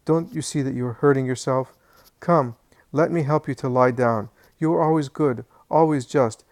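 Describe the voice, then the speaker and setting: A man's voice: mid-range voice, moderate pace, darkish tone, no room sound, lightly acted. A man voicing a distressed woman who begs her angry husband and her nephew in a tearful, imploring voice. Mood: pleading. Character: tearful pleading middle-aged woman.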